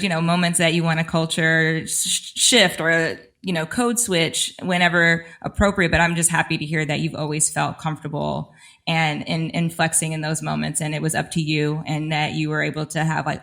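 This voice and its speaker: Woman podcast host, lower pitch, warm and affirming interviewer. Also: vocal fry. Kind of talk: interview hosting.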